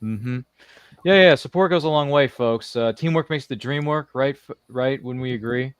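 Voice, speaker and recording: Male podcast host, medium pitch, dry close sound, loose jokey chat, tends to ramble and repeat himself. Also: moderate pace, smooth, dry recording. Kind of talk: casual live interview chat.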